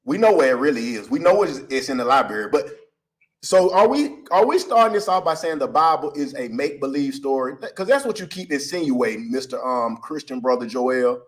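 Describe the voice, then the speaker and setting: A man's voice: medium pitch, lively intonation, brisk. A man, co-hosting a podcast debate in loose street slang, teasing and cutting in. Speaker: podcast co-host.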